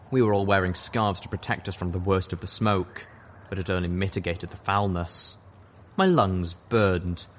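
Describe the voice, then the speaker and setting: A man reading a fan-fiction audiobook aloud, narrating in the first person in a steady storytelling manner. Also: low-pitched, slight background noise. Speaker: audiobook reader.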